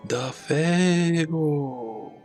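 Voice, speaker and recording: Man putting on an eerie, drawn-out calling wind spirit. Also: fairly high pitch, a little mellow, soft background music, voice pushed up. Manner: stretches words into slow drawn-out calls. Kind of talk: horror story reading.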